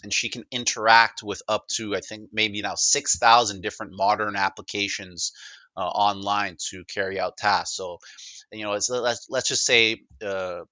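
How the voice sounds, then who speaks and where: A man talks casually as he demonstrates a product. His voice is a little low yet bright and forceful, with informal, upbeat, halting phrasing. The sound is close and dry. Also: fairly even intonation, no echo, low-quality recording. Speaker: presenter demonstrating a voice assistant. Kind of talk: casual demo conversation.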